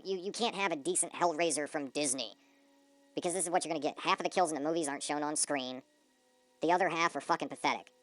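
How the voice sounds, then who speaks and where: A woman's voice: on the low side, a bit bright, dry recording. A woman giving a blunt, profane, scornful rant as a movie reviewer. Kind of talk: ranting film review commentary.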